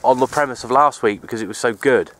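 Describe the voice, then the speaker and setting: A man's voice: quick pace, fairly bright tone. Resigned man, outdoors with background noise. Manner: resigned, low-key, repeats himself. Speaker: outdoor radio hobbyist vlogger. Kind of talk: casual rambling vlog narration. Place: outdoors on an open wooded hilltop. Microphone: handheld camera's built-in mic, held by speaker. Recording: outdoor handheld camera, wind and ambient noise.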